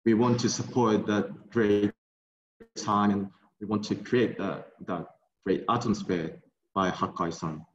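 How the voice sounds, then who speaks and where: A young man with a Japanese accent speaking halting English, often repeating words, on a video call. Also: lowish voice, steady tone, slight background noise. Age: young adult. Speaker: brewery guest. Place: tasting room with bottle shelves. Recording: roomy, dull video call audio.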